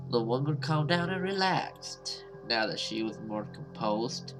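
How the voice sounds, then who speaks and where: Slow-paced man doing casual game commentary. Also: medium pitch, expressive. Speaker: gaming YouTuber playing a visual novel. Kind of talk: casual let's play commentary mixed with reading the story aloud. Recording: music playing behind the voice. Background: game music.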